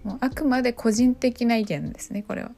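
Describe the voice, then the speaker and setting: A woman's voice: a little high, animated, brisk, vocal fry, mellow, soft background music. A woman hosting a podcast on her own, chatting casually and often putting questions to her listeners. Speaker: podcast host. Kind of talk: casual solo podcast chat.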